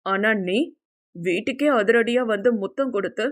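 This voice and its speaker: A woman's voice: mid-range voice, normal speed, lightly acted. A woman voicing a flustered young man in love, scolding affectionately in an audio novel. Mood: lovestruck, teasing. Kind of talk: Tamil audio novel character acting.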